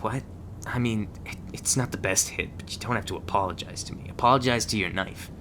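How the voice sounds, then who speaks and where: A man playing a gruff, guarded agent who trains a rookie in a terse, stern manner in an ASMR roleplay. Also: lowish voice, fairly quick, noisy background, close to an everyday voice. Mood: dry, teasing. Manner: terse, stern instructing, stammers when flustered.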